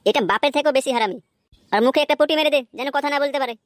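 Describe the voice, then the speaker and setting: A woman's voice: sits high, rapid-fire, background noise, lightly acted. A woman voicing a bossy male gang henchman in a comedy cartoon, cocky, coarse and commanding. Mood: bullying. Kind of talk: amateur comedy cartoon dubbing. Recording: music behind the voice.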